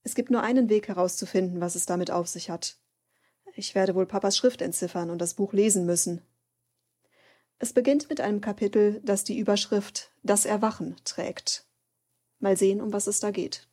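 A woman's voice: medium pitch, normal speed, warm tone, breathy edge, lightly acted. A woman playing an earnest, searching daughter, narrating in a scripted German audio drama. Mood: earnest, pensive.

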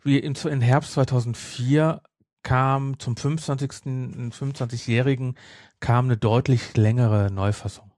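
A man's voice: mid-range voice, fairly even intonation, a little slow, darkish tone, no room sound, clean studio sound. A man chatting casually as a podcast co-host, rambling and repeating his phrases. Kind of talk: casual chat.

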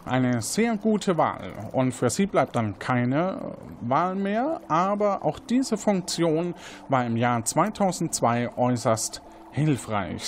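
An actor playing a quirky lab inventor in an interactive audio drama, chatty, sardonic and a little condescending. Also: male voice, on the high side, slight background noise.

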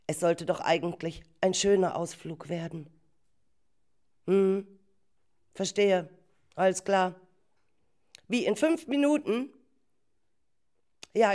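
A woman plays an anxious schoolteacher in an amateur radio drama, with a medium-pitched voice. She is agitated, repeats words and stumbles as she frets. Music runs behind her. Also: darkish tone, natural voice. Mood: anxious, pleading. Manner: agitated, halting, talking to herself and repeating words. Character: flustered, worried female primary school teacher missing her pupils. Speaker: teacher character. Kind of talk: amateur radio play acting.

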